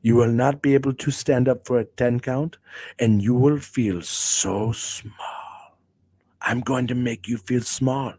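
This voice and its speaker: A man putting on a low, hushed voice as a wrestler threatening a rival. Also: steady tone, measured pace, breathy edge. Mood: menacing.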